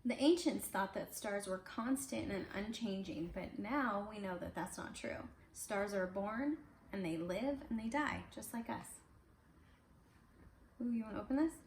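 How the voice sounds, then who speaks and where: A woman's voice: medium pitch, expressive, unhurried. A woman reading a picture book aloud to children in a casual, conversational way, adding little explanations as she goes. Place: indoors at home. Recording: roomy, echoey home recording with faint noise.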